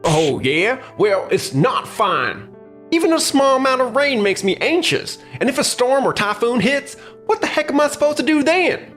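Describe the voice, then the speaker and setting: A man's voice: very high voice, very animated, moderate pace, a bit bright, soft background music, full character voice. A man voicing an irate male neighborhood resident, complaining and coarse.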